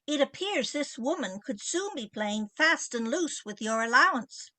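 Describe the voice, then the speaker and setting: A woman's voice: sits high, lively intonation, unhurried, bright, forceful tone, full character voice. Haughty, piercing older society mother. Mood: disapproving, haughty. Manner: imperious, disdainful. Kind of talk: comic old-time radio play acting.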